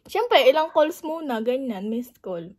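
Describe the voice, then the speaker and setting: A woman vlogger chatting casually on prank calls to friends, with a giggly, animated manner. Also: high voice, a little slow, a little hiss or hum. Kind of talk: casual chat on the phone.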